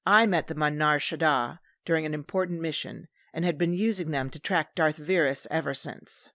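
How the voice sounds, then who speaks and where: A woman's voice: deep voice, moderate pace, a bit bright, no room sound. An actress playing a cold, vengeful female commander who narrates and plots in a space audio drama. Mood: measured narration. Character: cold, scheming vengeful woman, former Sith commander. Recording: dry studio with music underneath.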